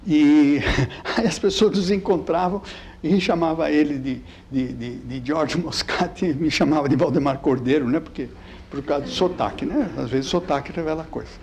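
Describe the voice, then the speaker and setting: An older man born in Italy, with a light Italian accent, giving a physics lecture with slides in a chatty, halting way full of fillers. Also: fairly high pitch, darkish tone, a little hiss or hum. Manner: halting, many fillers and repeated words; chatty, keeps asking "né?".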